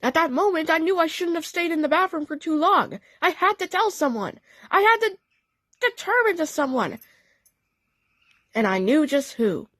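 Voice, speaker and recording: High-voiced woman narrating a story. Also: expressive, moderate pace, a bit bright, no echo. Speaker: narrator and voice actor. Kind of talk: dramatic first-person story narration.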